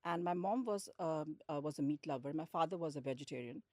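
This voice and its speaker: A woman's voice: deep voice, a little fast, dark tone, no room sound, clean studio sound. A woman with an Indian accent, talking conversationally and telling stories as a guest in an interview. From India, grew up in Lucknow and Hyderabad. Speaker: podcast guest, hospitality executive.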